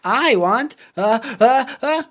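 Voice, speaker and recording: A woman voicing an anxious male elephant from a children's picture book, halting and dramatic. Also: fairly high pitch, expressive, fairly bright tone, exaggerated voice. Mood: nervous, struggling. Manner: halting, drawn-out, dramatic delivery.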